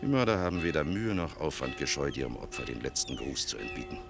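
An actor playing a grave, world-weary older male official in a German radio play, speaking with measured, bitter irony. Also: rumbling low, sing-song, vocal fry, music in the background, exaggerated voice. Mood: solemn irony. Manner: measured, sardonic, rhetorical.

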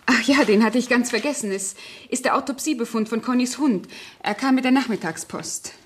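An actress playing an evasive, secretive family friend in a German radio crime play, smoothly explaining things away. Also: female voice, a little high, a little fast, a little hiss or hum, close to an everyday voice. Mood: anxious, probing. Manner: explains herself smoothly, plays down worries.

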